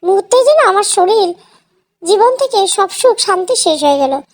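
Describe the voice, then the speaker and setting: A woman with an extremely high voice narrates an emotional story in the first person. Her delivery is scripted, dramatic and sorrowful. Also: expressive, normal speed.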